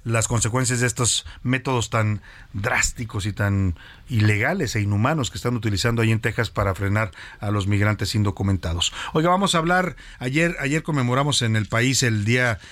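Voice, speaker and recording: Middle-aged Mexican radio host, chatty interviewer, dry studio sound. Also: male voice, mid-range voice, fairly quick, fairly bright tone, no room sound. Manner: conversational, frequent restarts and fillers.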